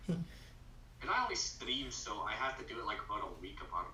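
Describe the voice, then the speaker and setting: A young man in casual, halting chat as a guest on a friend's voice-call live stream. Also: on the low side, very animated, bright, forceful tone. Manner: rambling, halting, restarts phrases. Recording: echoey room, far from the mic.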